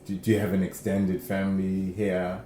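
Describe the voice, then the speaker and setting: A man co-hosting a podcast, asking questions in a halting way and repeating words. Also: low voice, level tone, dark tone. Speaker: podcast co-host. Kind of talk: interview questions. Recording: echoey room, faint noise.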